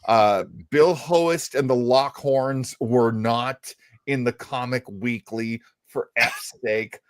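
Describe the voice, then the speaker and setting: A man's voice: mid-range voice, slow, no room sound. A man co-hosting a radio show, chatting casually and hesitantly, with playful self-deprecation as he introduces old-time radio.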